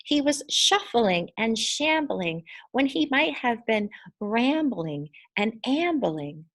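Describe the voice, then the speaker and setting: A woman reading a children's story expressively, with deliberate, dramatic emphasis on her words. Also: a little high, very animated.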